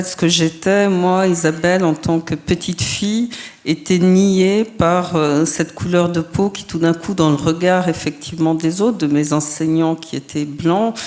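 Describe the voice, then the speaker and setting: A woman from France with a slightly low voice speaks as a guest on a public panel. She answers carefully and deliberately, often pausing to hesitate as she works through her ideas. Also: a little mellow. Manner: thoughtful, deliberate, frequent hesitations. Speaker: guest, filmmaker and writer.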